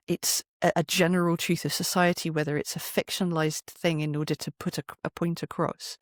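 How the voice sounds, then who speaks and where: A woman's voice: on the low side, no room sound, studio quality. A woman in her fifties talking conversationally as an interview guest, articulate and chatty, often acting out quoted remarks. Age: 50s. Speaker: interview guest, performer and poet.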